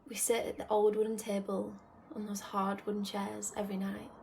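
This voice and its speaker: A woman acting a wistful figure remembering the family home, in a reflective radio drama monologue full of pauses. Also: medium pitch, monotone, gravelly, darkish tone, slight background noise, natural voice. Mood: nostalgic. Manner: slow, reflective, pausing. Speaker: actor in a student radio drama.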